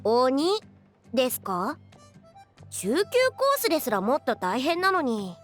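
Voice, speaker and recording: Anime game athletics coach: confident, brisk, fast-talking, with animated high delivery. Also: female voice, soft background music. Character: brisk, confident, energetic female athletic coach, tough and proud. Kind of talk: Japanese anime-style game voice acting.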